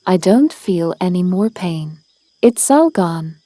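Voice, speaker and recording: A woman's voice: lowish voice, lively intonation, slow, dark tone, no echo. A woman voicing a large, possessive, blustering male crocodile in a children's storybook. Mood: relieved.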